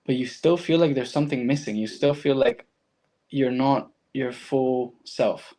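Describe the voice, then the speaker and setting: A man's voice: medium pitch, measured pace, dark tone. A man from Argentina with a Latin American accent and Spanish as his first language, speaking as a guest and telling his personal story reflectively in conversation.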